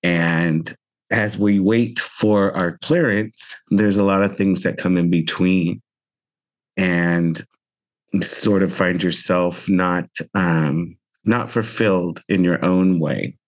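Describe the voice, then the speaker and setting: Male podcast host, 50s, American. Very low pitch, unhurried delivery, earnest and reflective. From Central Valley, United States. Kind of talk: reflective solo podcast talk.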